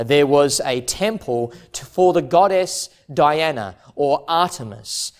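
A man in his thirties preaching a measured expository sermon. His voice sits slightly high, and he takes his time. He reads scripture with deliberate, earnest emphasis. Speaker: pastor. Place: church stage in front of a plain backdrop.

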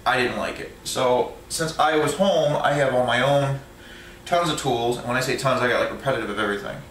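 A man's voice: mid-range voice, fairly quick, a bit bright, distant mic. Man, truck driver, rambling with ums, roomy echo, faint hiss. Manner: rambling, lots of ums and restarts. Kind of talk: casual show-and-tell of tools. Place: indoor room at home. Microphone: camera set up overhead, a few feet from the speaker. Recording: echoey room, voice a bit off mic.

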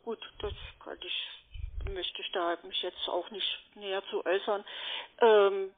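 A woman's voice: mid-range voice, fairly even intonation, unhurried. A woman working as a call centre agent, speaking politely but hesitantly and evasively in an unscripted phone call. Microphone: telephone. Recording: narrow-band phone line.

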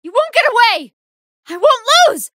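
A woman's voice: extremely high pitch, sing-song, normal speed, dry recording. A woman playing a good-hearted female android fighter in an anime fighting game, earnest and polite, with emphatic battle lines. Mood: fierce battle cries.

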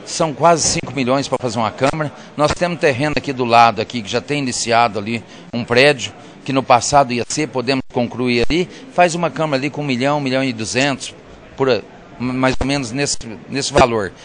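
A man's voice: medium pitch, brisk, fairly bright tone. A middle-aged man with a Brazilian Portuguese accent, a councilman giving a firm, opinionated interview answer in a council chamber into a handheld mic. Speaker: city councilman. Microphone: handheld reporter mic.

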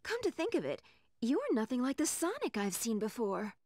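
High-voiced confident game heroine. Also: female voice, wildly swooping pitch, moderate pace, darkish tone, no room sound, clean studio sound. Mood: grateful, puzzled. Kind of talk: video game cutscene acting.

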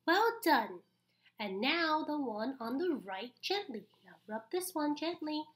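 A young woman with a high voice hosts an interactive story time for little kids. Her delivery is slow and very lively, swinging up and down with exaggerated encouragement. She speaks as if guiding children through each page. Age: young adult. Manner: animated, exaggerated, encouraging, with audible gasps and pauses. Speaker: story-time host reading a picture book aloud. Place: small indoor room.

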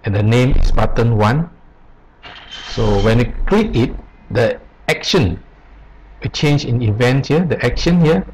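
A man presenting an unscripted software tutorial, explaining step by step in short, halting phrases. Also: medium pitch, lively intonation, slight background noise, poor audio. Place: voice-over on a screen recording.

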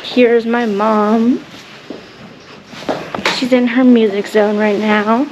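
A woman's voice: on the high side, flat delivery, slow, dull audio. A woman speaking casually as a vlog host and interviewer. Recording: faint background noise.

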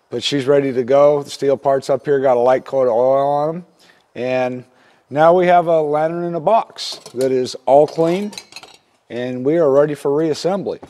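A man in his fifties giving a casual, plainspoken, step-by-step repair tutorial at an unhurried, instructional gait. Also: mid-range voice, a little mellow. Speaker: instructor demonstrating lantern repair. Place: indoor wooden workshop at a workbench.

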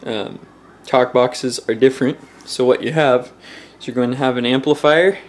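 A man's voice: mid-range voice, steady tone, normal speed. A young man giving a casual, slightly hesitant how-to explanation, thinking aloud, recorded indoors at home on a close handheld camera. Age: young adult. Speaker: DIY tutorial presenter. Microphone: handheld camera at close range.

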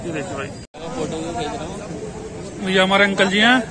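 A man with a Western Uttar Pradesh Hindi accent vlogging from an outdoor pigeon market, with brisk promotional patter that keeps urging viewers on. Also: high voice, lively intonation. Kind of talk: walking market vlog, talking to sellers and viewers. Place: busy outdoor street market. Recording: noisy outdoor market crowd. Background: crowd chatter and traffic.

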